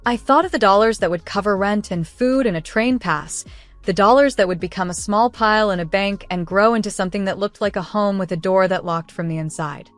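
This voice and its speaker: A woman reading a scripted first-person story in a measured, earnest, literary storytelling manner. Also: mid-range voice, faint music behind. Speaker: narrator.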